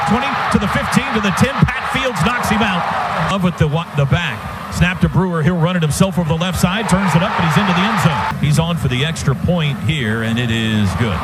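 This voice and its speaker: An American man doing live football play-by-play on the radio in clipped phrases that build to excited shouts. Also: sits high. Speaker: play-by-play announcer. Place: stadium radio booth. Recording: very noisy outdoor stadium broadcast. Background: loud stadium ambience.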